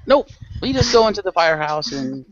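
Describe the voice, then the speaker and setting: A man's voice: high-pitched, sing-song, fairly quick, no room sound, lo-fi sound. A man acting as game master and narrator, chatting casually and narrating a tabletop role-play show.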